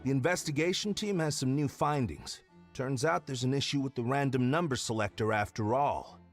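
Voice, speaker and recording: A man's voice: medium pitch, normal speed, close to an everyday voice. A man voicing a mildly scoffing member of an investigation team in a video game. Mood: informative. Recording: faint music behind the voice.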